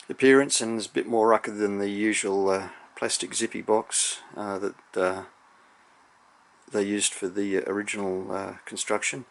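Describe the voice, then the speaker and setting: A man with a low, noticeably creaky voice presents a hands-on electronics demonstration. He explains things in a measured, slightly hesitant, unscripted manner, as a hobbyist would.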